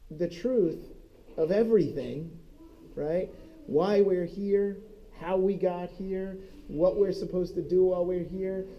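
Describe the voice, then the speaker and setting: A man's voice: high-pitched, muffled recording, a little hiss or hum. A man leading a church Bible study group, teaching conversationally and often asking "right?". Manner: conversational, keeps checking in with "right?". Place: church meeting room.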